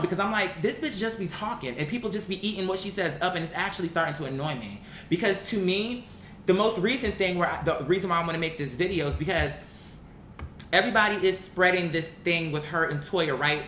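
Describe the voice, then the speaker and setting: A vlogger giving casual, opinionated commentary in a blunt, slangy and emphatic way. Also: fairly bright tone. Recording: roomy echo, faint rumbling background noise.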